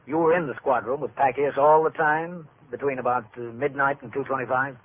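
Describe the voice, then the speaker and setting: A man's voice: medium pitch, steady tone, a little hiss or hum, natural voice. Man playing a police captain, tinny vintage radio. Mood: businesslike. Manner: measured, matter-of-fact questioning. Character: steady, authoritative police captain in charge of a precinct. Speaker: actor playing captain and narrator. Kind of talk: old-time radio police drama acting.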